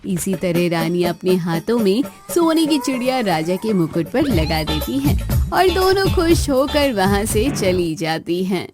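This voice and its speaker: A female storyteller delivers scripted moral-tale narration. Her mid-pitched voice moves with lively intonation over faint music. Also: unhurried.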